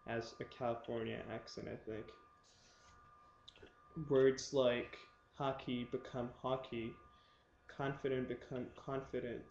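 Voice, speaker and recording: A young man talking casually to his viewers in a halting way, with many pauses and fillers. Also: medium pitch, a little mellow, reverberant, muffled recording, slight background noise. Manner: slow, halting, many ums. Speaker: vlogger. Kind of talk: casual talk to camera.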